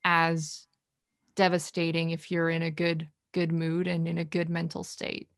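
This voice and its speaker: Halting, thoughtful woman on a video call. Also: fairly low pitch, completely flat delivery, clean tone, no echo, clean studio sound. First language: Korean. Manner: hesitant, thinking aloud with many restarts. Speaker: podcast host. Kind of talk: casual video-call chat. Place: indoors in Korea.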